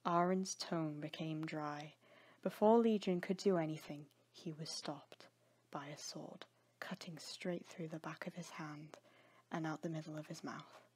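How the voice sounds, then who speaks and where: A woman narrates scripted dramatic fiction. Her voice sits fairly low and is breathy and husky, in a storytelling style. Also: steady tone, measured pace, a little mellow.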